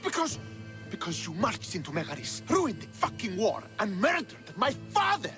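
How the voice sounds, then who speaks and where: A man's voice: high-pitched, huge pitch swings, normal speed, over music. A man playing a bitter, hot-tempered young Spartan army commander in a video game, with an intense, accusatory, scornful delivery. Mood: furious. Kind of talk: dramatic video game acting.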